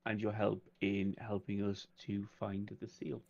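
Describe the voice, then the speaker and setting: A man playing in a tabletop roleplay game, speaking in character in a polite, measured, conversational way. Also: on the low side, monotone, creaky, darkish tone, lo-fi sound.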